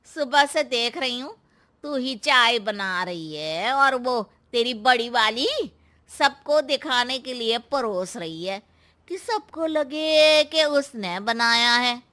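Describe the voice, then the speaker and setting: Casting: actress as sly, sulky troublemaking aunt for a moral story; bright piercing tone, unhurried taunting delivery. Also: female voice, a little high, expressive, exaggerated voice. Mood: sly flattering. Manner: taunting, exaggerated drawn-out sympathy. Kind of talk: Hindi moral story voice acting.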